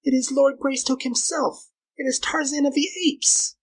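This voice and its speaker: A man's voice: high voice, moderate pace, breathy edge, voice pushed up, rough recording. A man voicing a frightened, scheming young woman in a storybook reading, urgent and pleading. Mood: terrified whisper.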